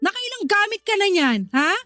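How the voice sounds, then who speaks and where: A woman's voice: very high-pitched, very animated, normal speed, bright, forceful tone, soft background music, full character voice. A Filipino actress playing a strict, bossy older sister in her thirties who scolds and nags in a radio drama. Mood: exasperated, challenging.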